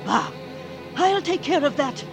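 A wicked, imperious queen in an old radio drama. Her high voice is slow and declamatory, swinging widely as she commands, with music clearly behind her. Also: lively intonation, full character voice. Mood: cold, commanding. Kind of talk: 1940s radio acting. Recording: vintage 1940s radio recording, music behind.

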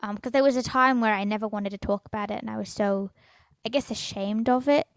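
A teenage girl hosting her own podcast, talking in a halting way with stutters, fillers and restarts, often trailing off quietly. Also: mid-range voice, creaky, dry recording, studio quality. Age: teens. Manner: soft, trails off quietly at phrase ends. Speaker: podcast host. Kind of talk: solo personal podcast.

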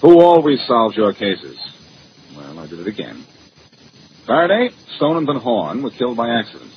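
An actor playing a cocky, wisecracking amateur detective in 1940s radio style, glib, sarcastic and teasing. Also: male voice, mid-range voice, wildly swooping pitch, normal speed. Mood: sardonic, brisk. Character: cocky, wisecracking amateur detective man, needling a police inspector. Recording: muffled, hissy old-time radio recording.

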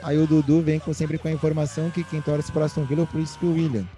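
Mellow-voiced joking man hosting a podcast. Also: on the high side, flat delivery, quick pace. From Rio Grande do Sul, Brazil. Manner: joking, playful banter. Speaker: podcast host. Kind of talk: casual football chat.

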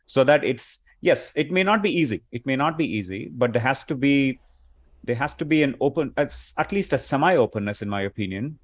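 Man chatting about tech, asking "right?". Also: mid-range voice. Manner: opinionated, leans on "right?" and "like". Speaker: co-host. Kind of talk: casual tech discussion.

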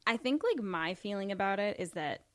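A woman's voice: mid-range voice, sing-song, a little fast. A young woman co-hosting a podcast, speaking in a confident, dry, matter-of-fact way. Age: young adult. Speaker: podcast co-host. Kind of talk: casual chat.

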